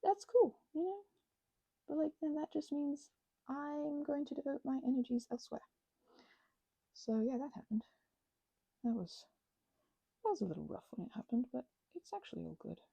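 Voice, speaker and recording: Casting: woman, mellow husky voice, very animated pitch, halting intimate crafting-vlog ramble, reflective and self-interrupting. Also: dry recording, lo-fi sound. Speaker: craft vlogger and ASMR creator. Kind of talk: rambling, intimate casual chat while crafting.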